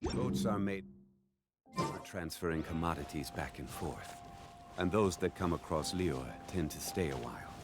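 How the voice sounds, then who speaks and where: An actor playing a calm, knowing, learned man who explains in measured video game dialogue. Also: low-pitched. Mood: composed, explanatory. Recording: game music behind the voice.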